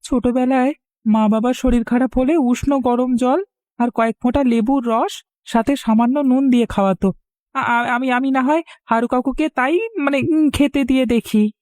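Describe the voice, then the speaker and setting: A woman plays a caring young village boy in a cartoon. The voice is high and recorded dry, with an earnest, pleading delivery. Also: steady tone, normal speed, darkish tone, dry recording, close to an everyday voice. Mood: earnest, worried. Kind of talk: Bengali cartoon voice acting.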